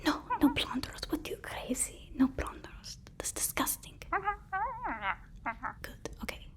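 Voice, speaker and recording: A woman whispers slowly, in an ASMR roleplay as an obsequious assistant to a supervillain. She is fussy and deferential, halting and repeating herself as she goes. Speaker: ASMR performer.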